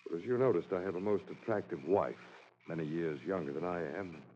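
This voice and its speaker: A man's voice: low voice, fairly quick, close to an everyday voice. An actor in an old radio drama playing an older married man, grave, suspicious and used to giving orders. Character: grave older husband, suspicious, authoritative expedition leader. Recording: muffled vintage radio recording, faint noise.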